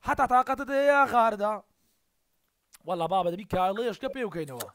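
A man's voice: high-pitched, big pitch swings, racing, fairly bright tone, slight background noise. A man in his thirties with a Kurdish accent, chatting casually as a livestream host and repeating short phrases into a headset mic. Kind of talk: casual chat with strangers on a livestream.

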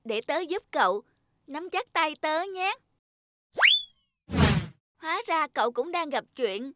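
A woman voicing an earnest, childlike little talking household object in a children's cartoon, with an expressive manner. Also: high voice, slow, slight background noise, heavily acted. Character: childlike talking cup and flashlight, eager, easily frightened young friends. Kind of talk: dubbed children's cartoon dialogue.

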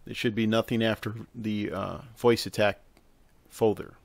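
A man narrating a casual software tutorial, explaining step by step in an instructional way with hesitant pauses. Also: lowish voice, flat delivery, gravelly, dry recording. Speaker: tutorial host. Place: close, dry indoor voice-over for a screen recording.

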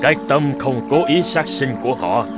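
An actor playing a calm old male hermit sage who chides in a measured, knowing way. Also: medium pitch, music in the background, close to an everyday voice. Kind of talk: Vietnamese cartoon dubbing.

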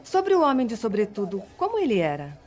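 An actress playing a composed, businesslike police sergeant in an audio drama, formal and measured. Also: female voice, fairly high pitch, wildly swooping pitch, a little mellow. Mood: calm, official.

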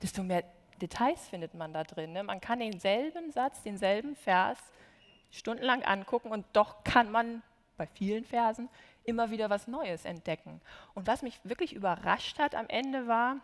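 A woman's voice: fairly high pitch, animated, moderate pace. Candid young woman in a big hall. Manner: enthusiastic, candid, self-deprecating. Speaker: student translator. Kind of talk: panel conversation. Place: large university auditorium stage. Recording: long reverb in large hall.

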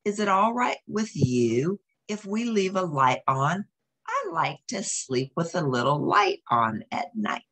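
A woman voicing a friendly, considerate little girl in a storybook, asking things softly. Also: on the low side, very animated, unhurried, heavily acted. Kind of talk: storybook read-aloud.

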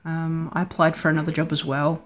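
Low-pitched woman chatting casually. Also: little pitch movement, a little fast, soft background music. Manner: hesitant, rambling. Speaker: vlogger. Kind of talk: casual chat to camera. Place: living room indoors. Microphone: camera or webcam at arm's length.

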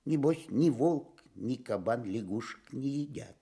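Self-pitying storybook stork, husky, slow, plaintive grumbling with big swoops. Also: male voice, mid-range voice, animated, mellow, heavily acted.

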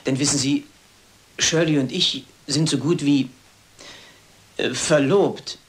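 An awkward younger subordinate in a radio mystery. His fairly high male voice is polite and apologetic, hesitating as he addresses his superiors. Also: steady tone, slow. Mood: sheepish. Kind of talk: German radio crime drama acting.

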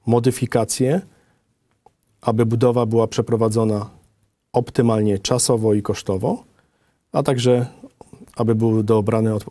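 Bright-voiced man presenting formally. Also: fairly low pitch, no room sound. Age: middle-aged. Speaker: design office director presenting.

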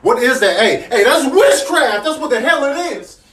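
An American man improvising comedic animal voice-overs with animated, exasperated, slangy delivery. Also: extremely high pitch, normal speed. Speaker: comedy voice-over performer.

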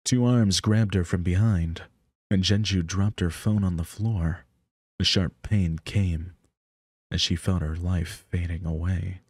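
A man's voice: low voice, steady tone, unhurried, darkish tone, no room sound, clean studio sound. A young man narrating a visual novel as a storyteller. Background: music.

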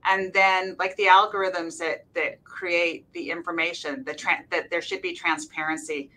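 Bright, forceful woman speaking informally. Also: on the low side, little pitch movement, moderate pace, a little hiss or hum, low-quality recording. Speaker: college faculty panelist. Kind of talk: casual panel discussion. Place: office, on a group video call.

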